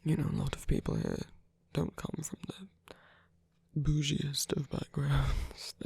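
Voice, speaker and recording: A performer of unclear sex voicing a soft-spoken, weary, tender villain lover in a romantic ASMR roleplay, breathy and often whispery, with a hesitant, gently wry delivery. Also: mid-range voice, huge pitch swings, slow, dry recording, exaggerated voice. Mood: earnest, halting.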